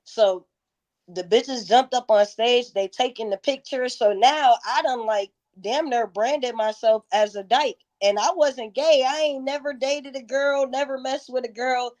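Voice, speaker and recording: A woman's voice: high-pitched, a little slow, darkish tone. A woman in her thirties telling stories candidly in a casual interview, with slangy, profane, emphatic phrasing. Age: 30s. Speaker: interview guest, music performer.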